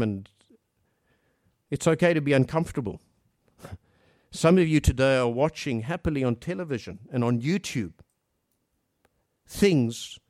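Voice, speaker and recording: Earnest male preacher, higher-pitched voice. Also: dry recording, studio quality. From Africa. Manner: earnest, rhetorical questions, dramatic swings between hushed and emphatic. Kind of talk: sermon.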